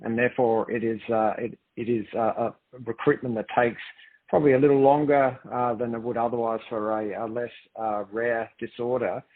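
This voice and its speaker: A man's voice: medium pitch, breathy edge. A man speaking as a company executive on a conference call, presenting and answering in careful, formal corporate phrasing. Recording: dull, lo-fi conference call line.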